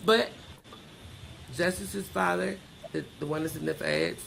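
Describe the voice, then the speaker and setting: Gravelly-voiced woman speaking plainly and emphatically. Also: fairly low pitch, steady tone, a little slow, fairly bright tone, slight background noise, poor audio. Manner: emphatic, plain-spoken, acts out quoted dialogue. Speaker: podcast guest. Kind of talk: candid personal interview storytelling.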